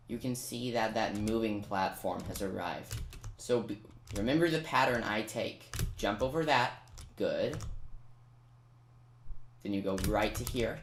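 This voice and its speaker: A person giving a casual step-by-step video game guide, talking directly to viewers with chatty asides. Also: medium pitch. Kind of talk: casual step-by-step gameplay commentary. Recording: roomy echo, noisy, keyboard clicks.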